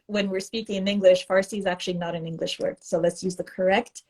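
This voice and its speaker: Female journalist, earnest and emphatic delivery, ordinary mid pitch. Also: steady tone, fast talker, a little mellow. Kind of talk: news segment on a live stream.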